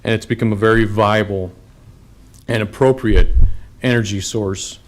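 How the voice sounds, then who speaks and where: A man's voice: lowish voice, steady tone, normal speed. A man testifying as a union business manager at a county board meeting, speaking plainly with some hesitation. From Nebraska. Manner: plain, a little hesitant, with fillers. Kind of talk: public testimony. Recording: public meeting broadcast, faint background noise.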